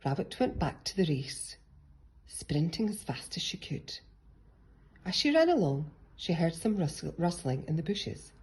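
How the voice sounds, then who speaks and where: A woman reads a children's story in a lowish, very husky, breathy voice. Her delivery is that of picture-book narration. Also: very animated, unhurried, darkish tone, low-quality recording. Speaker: storybook reader.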